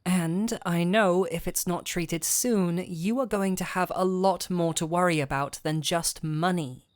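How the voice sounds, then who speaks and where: A woman playing a gentle but firm fantasy physician, calm and wry, in an intimate audio roleplay with measured, coaxing delivery. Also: lowish voice, no echo, clean studio sound, natural voice. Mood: firm, concerned. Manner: measured, coaxing, wryly stern. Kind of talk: intimate scripted audio roleplay addressed to the listener.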